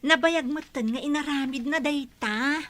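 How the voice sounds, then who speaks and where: A woman's voice: sits high, moderate pace, fairly bright tone. A woman with an Ilocano accent acting a defiant, dismissive young daughter in a radio drama. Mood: defiant, irritated.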